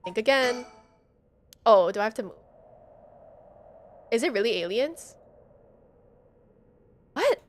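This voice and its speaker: A woman's voice: on the high side, expressive, takes it slowly, long pauses. A woman game streamer playing a horror game live, reading text aloud and reacting in a dramatic, slangy way. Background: game music.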